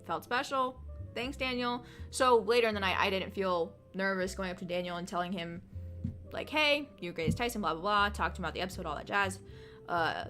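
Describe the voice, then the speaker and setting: A woman in her twenties chatting on a livestream, telling excited stories in a casual, rambling way. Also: mid-range voice, brisk, soft background music. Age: 20s.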